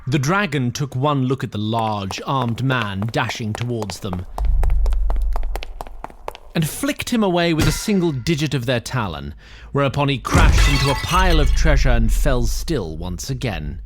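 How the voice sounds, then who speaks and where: A narrator of an audio drama, reading scripted narration and credits in a measured way. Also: medium pitch, very animated. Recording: loud music score beneath.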